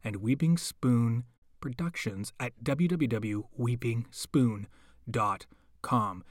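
A man's voice: mid-range voice, no room sound. Male podcast host, close dry sound. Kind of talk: scripted podcast introduction.

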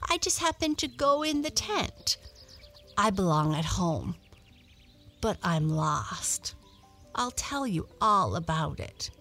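A woman's voice: mid-range voice, very animated, takes it slowly, vocal fry, a bit bright, soft background music, full character voice. A woman voicing a timid, tired young female cat in a children's storybook, polite and pleading. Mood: anxious.

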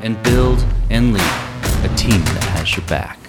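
Male podcast host, lowish voice, polished delivery, music bed. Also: animated, takes it slowly. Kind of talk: scripted intro then interview questions.

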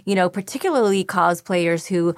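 A woman's voice: fairly low pitch, normal speed, dry recording, studio quality. A woman voice actor, a guest in a casual interview, talking enthusiastically and swearing now and then.

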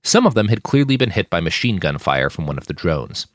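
A man with a low voice narrates fiction with drama and very animated intonation. The delivery is expressive and scripted, recorded dry and close. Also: fairly quick, dry recording. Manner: expressive, shouts out characters' lines. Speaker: audiobook narrator reading own fiction podcast.

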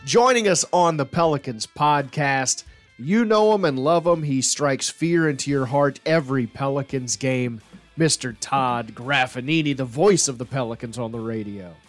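A man's voice: medium pitch, normal speed, soft background music. A man hosting a sports podcast in a casual, joking, teasing way. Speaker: podcast host.

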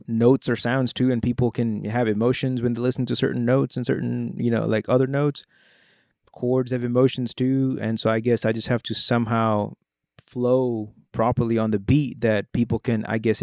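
A man's voice: medium pitch, vocal fry, no echo, clean studio sound. A young man from India, a rapper and producer whose first language is Hindi, speaking in a casual interview with a mixed Indian English accent and lots of "you know" and "like". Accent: Indian English with British and American traces. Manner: relaxed and conversational, fillers like "you know" and "like". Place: Oklahoma.

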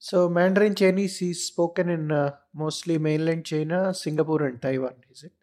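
Mellow woman host asking questions. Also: low-pitched, moderate pace. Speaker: podcast host. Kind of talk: interview questions.

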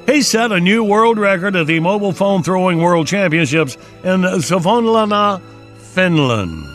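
This is a man hosting morning radio, his voice sitting noticeably high. He teases, laughs easily and keeps the segments moving. A music bed plays clearly behind him in the studio. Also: lively intonation, fairly bright tone. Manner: laughs often, quick teasing delivery. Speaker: radio morning show host. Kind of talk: joking morning radio banter.

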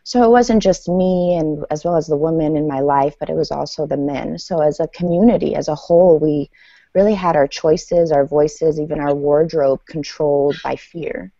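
Female author guest, thirties, native Farsi, very low mellow voice, recounting her life story. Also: moderate pace, no echo. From Iran, then the United States. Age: 30s. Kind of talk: personal storytelling in an interview. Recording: dull lo-fi audio.

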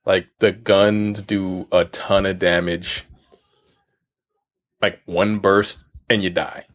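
A man's voice: low-pitched, flat delivery, slow pace, fairly bright tone. A man co-hosting a casual podcast, laid-back, swearing freely and laughing at himself. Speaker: podcast co-host.

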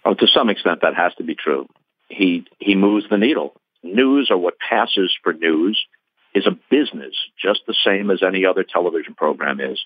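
An older man, a guest, giving wry, measured commentary in a casual interview. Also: sits low, lively intonation, dry recording. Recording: tinny phone line.

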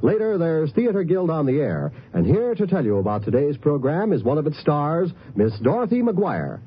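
A male radio announcer reads scripted promos with showman polish. His voice is fairly high, very animated and slightly rough-edged. The recording has the dull sound of old radio. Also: normal speed. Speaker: network announcer.

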